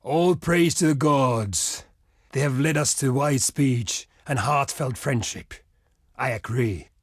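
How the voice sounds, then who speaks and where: Proud, slow-spoken barbarian envoy. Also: male voice, mid-range voice, no room sound, clean studio sound. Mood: pleased, solemn. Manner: declamatory, weighty, formal oratory. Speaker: diplomat character in a strategy game. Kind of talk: theatrical scripted game voice lines.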